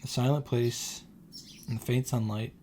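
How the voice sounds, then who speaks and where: A man reading a game script aloud and reacting off the cuff, halting and sometimes correcting himself as he goes. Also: mid-range voice, steady tone, darkish tone, a little hiss or hum. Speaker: streamer reading and reacting to a visual novel. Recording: music playing behind the voice. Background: clear background music.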